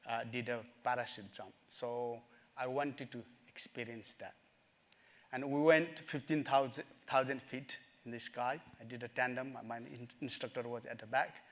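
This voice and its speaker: A middle-aged man from Nepal, telling his life story in a summit talk, earnest and halting, with many fillers. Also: medium pitch. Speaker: speaker at a summit. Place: conference stage.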